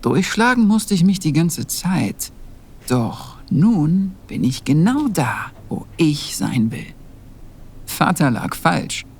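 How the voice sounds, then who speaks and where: A man's voice: a little high, sing-song, slightly rough, full character voice. A man playing a humble, pious young monastery novice in a fantasy audio play, speaking earnestly and with solemn care. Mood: reflective, earnest. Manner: solemn, measured.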